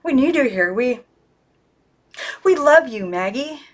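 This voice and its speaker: A woman voicing a dainty female doll in a high voice, sweet and pleading, for a storybook. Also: animated, slow, full character voice. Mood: adoring.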